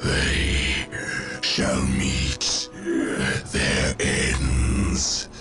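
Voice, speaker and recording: An actor voicing an ancient, deathless undead machine lord in a video game, cold and menacing, with a hollow rasp and slow, ominous commands. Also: male voice, bright, forceful tone, no room sound, faint music behind, full character voice. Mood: ominous threat. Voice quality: rasping, hollow, very deep. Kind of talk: video game cutscene acting.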